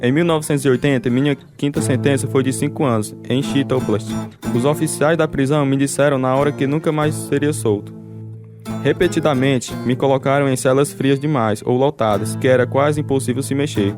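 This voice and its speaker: A man's voice: mid-range voice, steady tone, brisk, natural voice. Actor as an earnest, devout man, guitar music behind. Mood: grave. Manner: earnest, resolute. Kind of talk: first-person radio drama narration.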